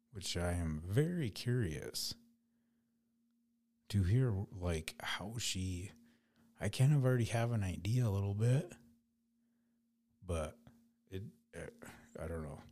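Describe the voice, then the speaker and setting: A man hosting a podcast solo, with a raspy voice, chatting loosely and rambling in an unedited way. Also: deep voice, warm tone. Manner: rambling, loose, laid-back. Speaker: podcast host. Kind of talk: casual solo podcast chat.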